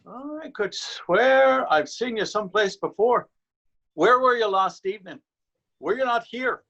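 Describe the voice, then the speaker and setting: A suspicious, self-important policeman played by a male actor in a readers theater play. He questions deliberately, on a slightly dull recording. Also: high-pitched, expressive, a bit bright, exaggerated voice. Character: officious, suspicious Dublin policeman. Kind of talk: readers theater stage acting.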